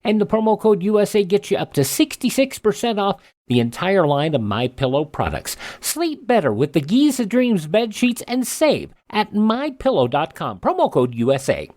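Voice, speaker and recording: A man's voice: sits high, wildly swooping pitch, normal speed, few pauses, darkish tone. Male radio host with swinging intonation. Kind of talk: radio hosting and live-read ads.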